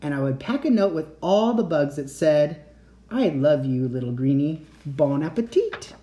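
A man voicing a gentle mother reassuring her child at bedtime. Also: fairly high pitch, lively intonation, moderate pace, natural voice. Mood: tender. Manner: soothing, tender reassurance.

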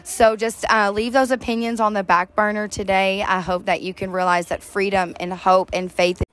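A woman with a deep voice, co-hosting a faith-based recovery podcast, talking earnestly and heartfelt to listeners, recorded outdoors on a back deck. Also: little pitch movement, normal speed, fairly bright tone. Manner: earnest, heartfelt, addresses listeners as "you guys". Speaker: podcast co-host.

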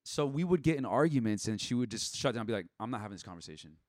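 A man's voice: medium pitch, big pitch swings, rapid-fire, darkish tone, no echo, clean studio sound. A young man talking casually and candidly as a podcast guest, blunt and given to swearing, acting out bits of past conversations as he goes. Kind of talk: casual personal chat.

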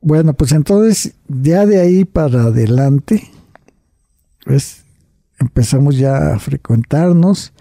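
Gravelly, very dark-voiced older man. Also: on the high side, expressive, takes it slowly, no room sound, studio quality. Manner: storytelling with tags like "right?" and "you see?", frequent restarts. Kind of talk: recounting personal memories in conversation.

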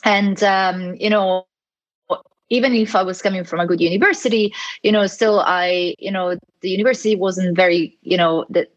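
A woman's voice: medium pitch, steady tone, normal speed, poor audio. A woman in her forties with an Italian accent, talking casually as a podcast guest, with many fillers and restarts. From Italy. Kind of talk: casual interview conversation.

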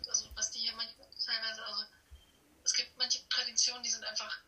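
A woman's voice: fairly high pitch, little pitch movement, a little fast, pushed and bright. A teenage young woman from Germany chatting casually as an exchange student being interviewed, effusive and self-deprecating, over a remote call. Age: teens. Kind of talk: casual chat. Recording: echoey, distant phone or video call.

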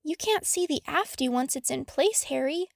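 A woman's voice: high-pitched, animated, moderate pace, gravelly, no echo, studio quality. A woman voicing a curious, friendly and helpful schoolgirl in a storybook reading.

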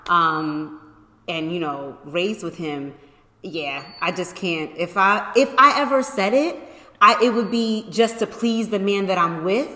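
Frank woman with a low, bright voice. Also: measured pace. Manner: frank and opinionated, laughs easily. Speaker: podcast co-host. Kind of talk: casual chat. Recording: echoey room with long reverb.

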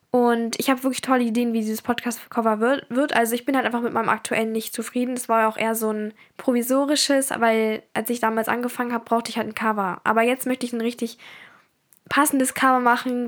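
A teenage girl from Germany talks through her week on her own podcast. She rambles in a loose, chatty way with plenty of fillers. Her voice sits a little high. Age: teens. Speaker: podcast host. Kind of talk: casual personal podcast chat.

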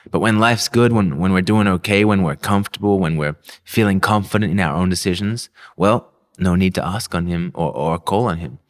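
A young man with an Australian accent giving an earnest devotional sermon, telling a personal story. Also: low voice. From Melbourne, Australia. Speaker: pastor.

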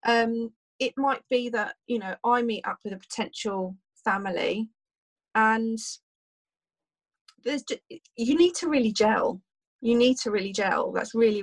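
Doula with a tiring voice. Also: female voice, medium pitch, low-quality recording. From United Kingdom. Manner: rambling, many fillers and restarts. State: losing her voice. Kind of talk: casual podcast interview.

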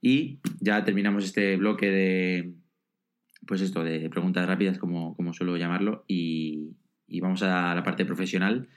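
A man's voice: low-pitched, fairly even intonation, normal speed. A man hosting an interview podcast, friendly and a bit hesitant, with many restarts as he sets up questions. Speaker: podcast host.

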